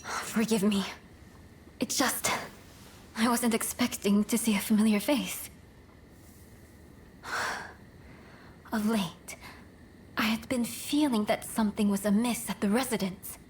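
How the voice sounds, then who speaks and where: An actress playing a dignified, stern princess in a video game, speaking formally and with composure. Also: female voice, a little high, steady tone, takes it slowly, pauses often, a little hiss or hum. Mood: composed, gracious. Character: dignified, stern Japanese princess, commanding and gracious. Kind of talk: dramatic video game acting.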